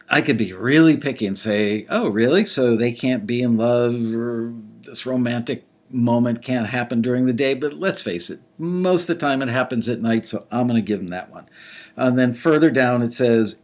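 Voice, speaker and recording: A man with a slightly gravelly voice giving casual live commentary on listeners' songs, thinking aloud with lots of fillers. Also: mid-range voice, normal speed, a little mellow. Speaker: music industry reviewer critiquing listener songs.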